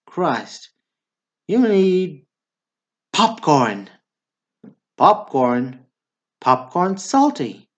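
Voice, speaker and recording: A man's voice: fairly high pitch, a little mellow, lo-fi sound, heavily acted. A man playing a blunt, bickering small-town Southern brother in a radio drama. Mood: stubborn. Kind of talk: stage comedy dialogue, radio drama acting.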